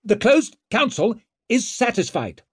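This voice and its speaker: A man narrating puts on an officious court official with formal, clipped authority. The voice is pushed up in pitch and strained, projecting as in a noisy chamber, with a slightly husky edge. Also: sing-song, a little slow, no echo, clean studio sound, full character voice. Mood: officious, raised. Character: Bruckle and Glockter, officious court officials straining over uproar. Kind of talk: audiobook character acting.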